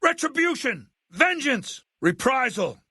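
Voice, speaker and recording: An actor playing an arrogant, commanding middle-aged warlord in a video game, speaking with condescending, deliberate diction. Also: male voice, extremely high pitch, very animated, pushed and bright, breathy edge, no room sound, clean studio sound, heavily acted. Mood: fierce shouting.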